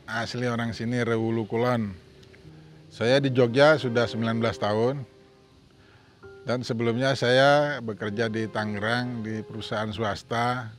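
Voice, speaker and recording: A man in his fifties from Sumatra, giving an earnest, plain-spoken personal testimony. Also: on the low side. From Sumatra, Indonesia. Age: 50s. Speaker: testimonial speaker.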